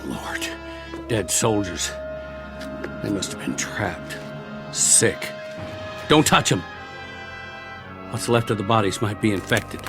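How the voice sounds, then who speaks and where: An actor playing a weary, talkative survivor soldier in a radio drama, with a gravelly voice and a wry, folksy, rambling way of speaking. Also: male voice, fairly low pitch, animated, takes it slowly, pauses often, over music. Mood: grim warning. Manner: folksy, rambling, colloquial monologue delivery.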